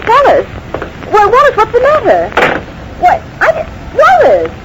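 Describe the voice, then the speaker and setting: An actress playing an assured, dismissive wife and theatrical producer, breezy and firm, in a 1940s radio mystery. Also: female voice, extremely high pitch, huge pitch swings, measured pace, full character voice. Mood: alarmed. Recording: slightly noisy vintage 1940s radio broadcast.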